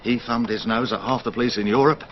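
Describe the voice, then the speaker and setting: A man's voice: mid-range voice, fast, dull audio, noisy background, close to an everyday voice. A man playing a hearty, down-to-earth English detective inspector in a radio drama, bluff and colloquial. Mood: jovial.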